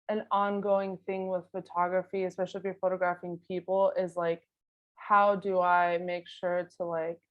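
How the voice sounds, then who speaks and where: Woman photographer casually answering questions, rambly and informal, average pitch, kinda dull lofi sound. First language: not Spanish; Spanish is second language. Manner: informal, thinking aloud, frequent "like" and "you know". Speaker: guest photographer giving an artist talk. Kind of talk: casual conversational interview answers.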